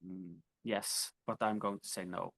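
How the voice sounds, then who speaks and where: Slow, gravelly-voiced musician guest. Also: male voice, fairly low pitch, level tone, a bit bright, no echo. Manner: hesitant, many fillers. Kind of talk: casual interview.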